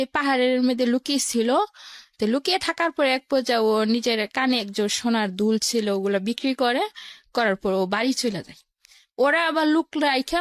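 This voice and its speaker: A woman's voice: high-pitched, no echo. A woman with a Bangladeshi accent telling her own life story as an interview guest, in plain colloquial words, step by step.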